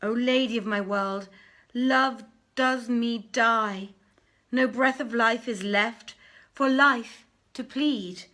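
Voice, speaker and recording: Lovesick young prince, played by a woman, weary, yearning, slow fervent verse, storybook recital. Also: on the high side, pushed and bright, pitched above the performer's own voice. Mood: impassioned longing. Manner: declaiming, drawn-out, fervent.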